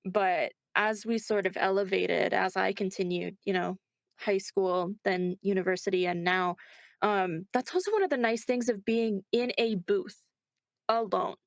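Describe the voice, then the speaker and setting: A woman with a mid-pitched voice talks as an interviewed voice actor. She is chatty and reflective, often interrupting herself to rephrase. The sound is dry, with very little room echo. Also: a little slow. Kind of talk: conversational interview.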